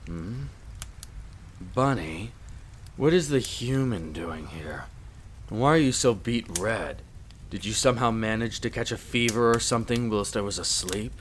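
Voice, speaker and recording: A man's voice: lowish voice, lively intonation, measured pace, a little hiss or hum. A man playing a stern, reserved vampire lord of a castle in a roleplay audio drama, terse and commanding. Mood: drowsy, suspicious.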